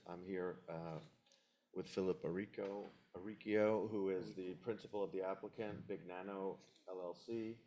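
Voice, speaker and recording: Applicant's rep, man, very deep voice, measured and businesslike. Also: warm tone. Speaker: applicant's representative. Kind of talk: formal presentation at a meeting.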